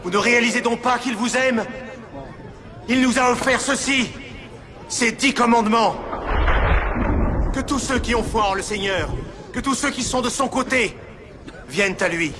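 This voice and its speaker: An actor playing a stern, devout male prophet in an animated Bible film, speaking gravely and declaiming. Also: very high-pitched, moderate pace, pauses often, crowd noise. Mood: urgent, rallying. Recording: music over the voice. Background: music.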